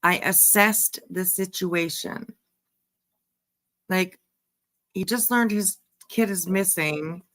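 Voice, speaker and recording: A woman host giving casual reaction commentary, musing in a halting, repetitive, emphatic way. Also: lowish voice, a bit bright. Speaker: host giving commentary on a true-crime case.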